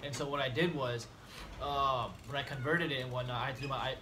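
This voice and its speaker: A man's voice: medium pitch, steady tone, quick pace, background noise. A man, chatting casually and a bit slangy in a small dorm room, laughing easily. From United States. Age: 20s. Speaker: medical student guest. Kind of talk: casual chat.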